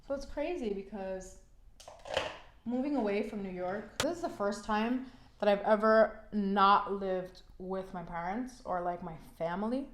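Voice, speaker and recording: A woman's voice: mid-range voice, unhurried, slight background noise. A young woman talks through her makeup routine in a loose, frank way, her voice stuffy and congested from a cold. She leans on "like" and "you know" as she goes. The sound is echoey, as if recorded in a bare room at home. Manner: chatty, frank, many "like" fillers. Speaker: beauty vlogger. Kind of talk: casual chat while doing makeup.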